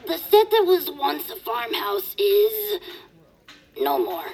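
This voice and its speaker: Creaky, hesitant stagehand. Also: female voice, high voice, wildly swooping pitch, slow pace, over music, heavily acted, poor audio. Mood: apologetic. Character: hesitant, apologetic stage crew member. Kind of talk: audio fiction cartoon acting.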